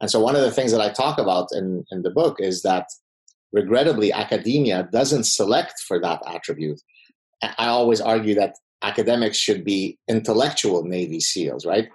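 A man's voice: lowish voice, lively intonation, moderate pace, low-quality recording. A middle-aged man from the Middle East, a professor and native Arabic speaker, answering interview questions in a confident, combative way with wry humor. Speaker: podcast guest, professor.

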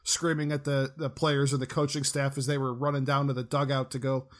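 A man's voice: mid-range voice, little pitch movement, fairly quick. A man in his thirties hosting a casual podcast, asking long rambling questions. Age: 30s. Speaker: podcast host.

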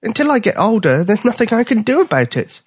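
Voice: medium pitch, very animated, a little fast, slightly rough, no echo, clean studio sound, pitched above the performer's own voice. A storyteller voicing a young male bear cub who is playful and kind. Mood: earnest. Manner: playful, earnest.

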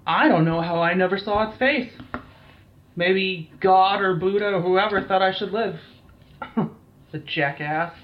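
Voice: mid-range voice, unhurried, pauses often, slight background noise, lightly acted. An actor playing a shaken, foul-mouthed military team captain in an audio drama, blunt and weary, on a slightly dull recording. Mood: grim, weary. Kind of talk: dramatised incident interview, audio drama acting.

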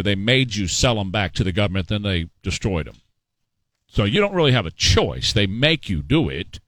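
A man's voice: mid-range voice, fairly bright tone, dry recording. A middle-aged man hosting a talk show, giving opinionated political commentary in a sarcastic, mocking, emphatic way.

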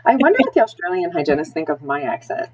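A woman's voice: on the low side, wildly swooping pitch, fast, slight background noise. An American woman chatting casually as a podcast co-host. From New Jersey, United States. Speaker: podcast co-host, dental hygienist.

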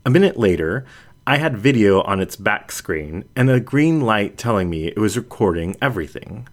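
A man's voice: fairly low pitch, animated, moderate pace. A man reading a scripted first-person story aloud as an audiobook narrator.

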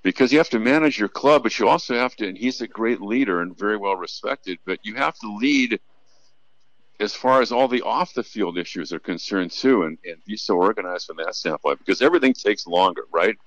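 A man's voice: on the low side, animated, a little fast, a bit bright, dry recording, lo-fi sound. A man who works as a radio play-by-play announcer, speaking earnestly as a guest in a conversational sports interview.

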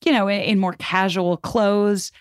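A woman's voice: medium pitch, fairly even intonation, a little slow, no echo, studio quality. A woman podcast guest telling history in an informal, conversational, explanatory way with plenty of filler words. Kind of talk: conversational storytelling about history.

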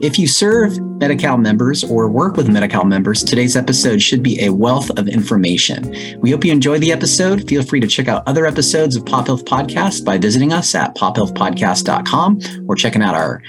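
A man's voice: mid-range voice, very animated, a little fast, music in the background. A man hosting a podcast, moving between scripted introduction and conversational interviewing. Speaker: podcast host.

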